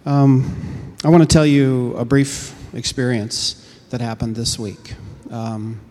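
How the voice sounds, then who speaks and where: A man's voice: mid-range voice, steady tone, slow pace, mellow, slight background noise. A man sharing a brief, conversational story with his church congregation. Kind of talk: informal church testimony. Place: church hall. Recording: long hall reverb.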